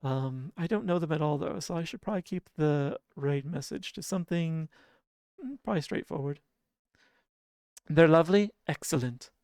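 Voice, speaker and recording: A game streamer with a sleepy, drowsy-sounding voice talks softly and casually to the chat. The tone is smooth and clean, and the desk mic sounds close and dry with no room behind it. Idle humming fills the pauses. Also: mid-range voice, no room sound. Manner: soft, relaxed, chuckles often and hums idle filler tunes.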